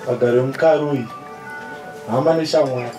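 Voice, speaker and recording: A man's voice: mid-range voice, fairly even intonation, brisk. A young man with a Zimbabwean accent giving a eulogy to a large gathering over a PA. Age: young adult. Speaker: friend giving a funeral tribute. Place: open-sided stadium stand outdoors. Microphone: handheld microphone over PA. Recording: echoey PA in open stand, music behind.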